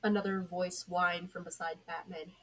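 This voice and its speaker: Young female fiction narrator, slightly husky voice, reading prose aloud. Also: lowish voice, level tone, moderate pace, a bit bright. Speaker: podfic narrator. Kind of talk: scripted story narration.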